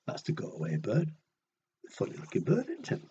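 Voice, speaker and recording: A man's voice: mid-range voice, wildly swooping pitch, moderate pace, mellow, breathy edge, dull audio. A man reading a picture book to children expressively, with chatty conversational asides to his young listeners. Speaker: children's storyteller.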